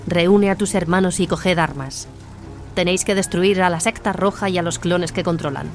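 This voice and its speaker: A woman's voice: fairly low pitch, moderate pace, faint music behind, natural voice. Woman playing a zealous warrior nun commander. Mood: commanding. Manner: solemn, commanding. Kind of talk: dramatised audio fiction acting.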